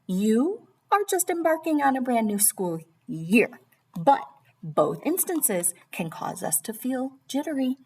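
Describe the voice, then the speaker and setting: A woman's voice: high voice, sing-song, slow pace, a little mellow, breathy edge. A woman reading a children's storybook aloud as a teacher, expressively and addressing young listeners directly.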